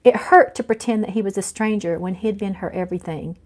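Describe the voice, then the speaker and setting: A woman author reading her novel aloud in an animated storytelling style. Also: mid-range voice, a little fast. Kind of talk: animated audiobook-style narration.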